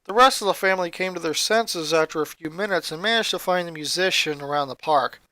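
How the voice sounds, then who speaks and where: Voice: medium pitch, fast talker, bright, forceful tone, dry recording. A reader giving a scripted narration of a story, putting on voices for the characters. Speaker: fan fiction reader.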